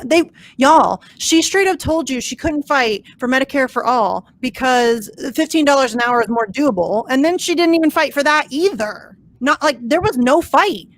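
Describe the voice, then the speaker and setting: A woman hosting a casual political talk stream, blunt and exasperated, her voice hoarse and cracking. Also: sits high, no room sound. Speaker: host.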